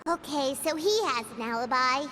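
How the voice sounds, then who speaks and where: A tiny girl fairy sidekick from a video game, extremely high and squeaky. The childlike voice leaps up and down, curious and excitable, over loud background music. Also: moderate pace. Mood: puzzled, pondering. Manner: expressive, speaks of herself in the third person. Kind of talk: scripted video game dialogue.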